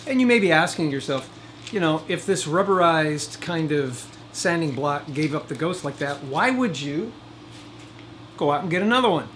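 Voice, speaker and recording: A man's voice: a little high, lively intonation, moderate pace, fairly bright tone, a little hiss or hum. A man hosting a DIY video in a casual, folksy way, with wry asides to the viewer. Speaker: host of a DIY video channel. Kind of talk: casual chatty DIY narration.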